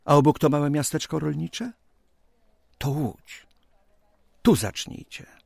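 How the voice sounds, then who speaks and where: An actor playing a genial, businesslike 19th-century company president, speaking formally and expansively in a radio drama. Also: male voice, on the high side, slow pace, gravelly, a little mellow, dry recording, studio quality, exaggerated voice. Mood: thoughtful, explaining.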